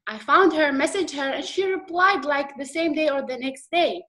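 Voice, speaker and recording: A woman's voice: high-pitched, normal speed, bright, forceful tone. A woman with an Iraqi accent telling her personal story as a guest on a video call, hesitant and slightly nervous, with many fillers. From Iraq.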